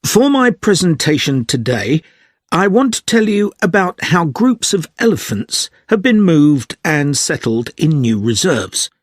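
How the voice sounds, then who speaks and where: A man playing a zoology student giving a scripted, measured, explanatory presentation. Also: on the high side, sing-song, no room sound, studio quality. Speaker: actor in an exam listening recording.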